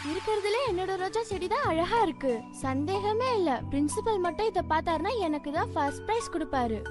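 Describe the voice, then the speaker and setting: A woman's voice: extremely high pitch, animated, brisk, loud music behind the voice. A woman voicing a boastful, competitive young schoolgirl in a children's cartoon, self-assured and showy. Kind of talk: Tamil-dubbed children's cartoon acting.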